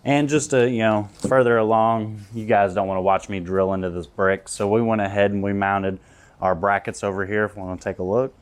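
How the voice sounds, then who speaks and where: Low-voiced man giving a casual walkthrough. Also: little pitch movement, fairly quick, clean tone. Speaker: audio-video installer presenting his work. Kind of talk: casual product walkthrough to camera. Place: outdoors at a client's house and patio.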